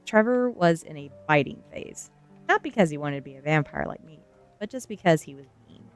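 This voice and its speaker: A woman reading a first-person story aloud in an ASMR style, narrating with expressive, dramatic emphasis. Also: low voice, brisk, faint music behind. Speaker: streamer reading a novel aloud.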